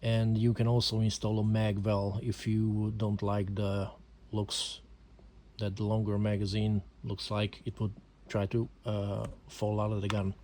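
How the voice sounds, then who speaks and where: Man, Hungarian accent, English not his first language, halting with fillers, warm mellow tone, casual video. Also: fairly low pitch, monotone, a little hiss or hum. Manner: hesitant, many fillers, explaining at home. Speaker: amateur gun reviewer. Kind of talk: casual amateur video talk. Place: home.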